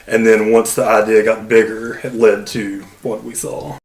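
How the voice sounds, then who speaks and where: Relaxed co-host man in a roomy space. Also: lowish voice, moderate pace, gravelly. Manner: relaxed, loosely adds to the discussion. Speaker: podcast co-host. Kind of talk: casual chat.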